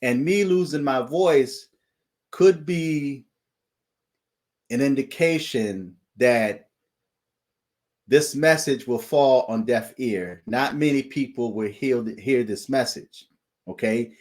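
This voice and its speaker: Slow, deliberate man preaching a warning. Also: mid-range voice, darkish tone. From United States. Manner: deliberate, repeats "okay?" as a check-in. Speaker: channel host sharing dreams and visions.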